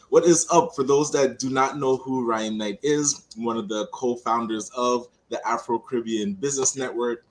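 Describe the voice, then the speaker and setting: A man with a hoarse, raspy voice chats casually as a guest on an online show. His voice sits at an average pitch. He talks in a relaxed, friendly way and laughs easily. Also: low-quality recording. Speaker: entrepreneur guest. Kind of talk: casual chat.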